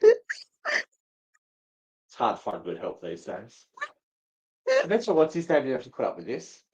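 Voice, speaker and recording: A woman's voice: extremely low pitch, wildly swooping pitch, moderate pace, darkish tone, rough recording. A woman co-hosting a live read, chatting casually with dry, teasing remarks. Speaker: co-host and reader. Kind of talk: casual banter.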